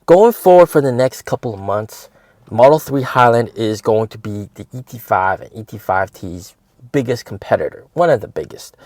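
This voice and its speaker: A man's voice: fairly low pitch, expressive, normal speed, a little mellow, dry recording. A man hosting a casual car review vlog, talking to viewers in an emphatic way and repeating words for stress. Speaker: host of an electric car stock channel.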